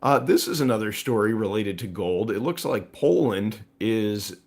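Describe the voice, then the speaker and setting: A man with a hoarse, raspy voice hosting a live stream, talking through market news casually to viewers. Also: on the low side, expressive, moderate pace, a little mellow. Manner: conversational, with frequent fillers and asides to viewers. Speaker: host of a precious metals live stream.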